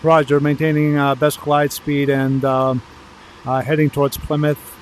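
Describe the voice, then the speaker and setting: A man thinking aloud haltingly as he plays a pilot in a flight simulator, mixing commentary with radio calls. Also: fairly high pitch, level tone, slight background noise.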